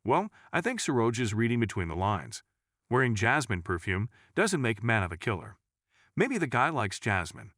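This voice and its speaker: A man's voice: medium pitch, big pitch swings, moderate pace, fairly bright tone, no room sound, studio quality. A man narrating a scripted first-person crime story in a dramatic storytelling manner. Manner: dramatic storytelling, acts out dialogue.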